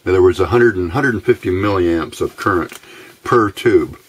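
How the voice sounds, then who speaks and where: A middle-aged American man giving a casual, halting instructional narration as an electronics hobbyist, recorded indoors at a workbench on a camera microphone. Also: sits low, a little hiss or hum. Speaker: electronics hobbyist demonstrating a homemade receiver.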